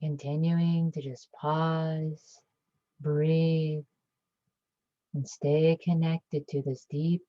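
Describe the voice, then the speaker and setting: A woman leading a guided meditation with calm, gentle, soothing instructions and long pauses between phrases. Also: low-pitched. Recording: dull lo-fi recording.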